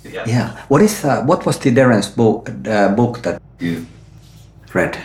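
A man's voice: mid-range voice, sing-song, takes it slowly, darkish tone. A middle-aged man hosting an interview, talking hesitantly with many fillers and restarts, speaking English as a non-native. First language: not Swedish; Finnish-sounding name, origin unstated. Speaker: podcast host. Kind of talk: conversational interview. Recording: roomy, echoey sound.

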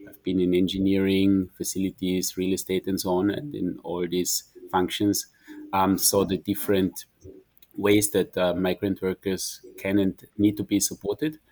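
A man in his forties joining an interview podcast as a business practitioner. His voice sits on the low side, and he speaks earnestly, pausing to find his words. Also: a little mellow, no echo. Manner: earnest, halting. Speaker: guest, industry practitioner.

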